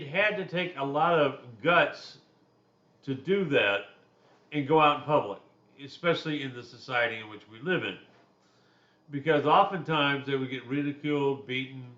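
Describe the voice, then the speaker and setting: A man's voice: pushed and bright, roomy sound, dull audio. A man, giving a recorded class lecture in a loose, conversational way with personal asides. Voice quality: deep voice. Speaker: instructor.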